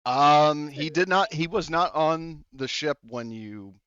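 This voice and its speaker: A man's voice: on the high side, takes it slowly, fairly bright tone, clean tone, no room sound. A man running a tabletop game as game master, talking in a casual, rambling way with many fillers. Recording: dull dry lo-fi mic.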